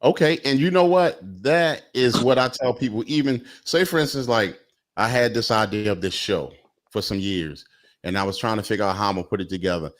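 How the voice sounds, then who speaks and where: A man's voice: lowish voice, brisk. A man in his forties hosting a casual talk show, laid-back and rambling with plenty of fillers. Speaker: host. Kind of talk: casual podcast chat. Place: Atlanta.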